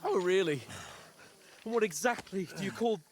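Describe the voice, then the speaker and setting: A man's voice: high-pitched, animated, moderate pace. An actor playing an anxious, sardonic young medieval man, speaking with agitated urgency in a game cutscene. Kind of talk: video game cutscene acting.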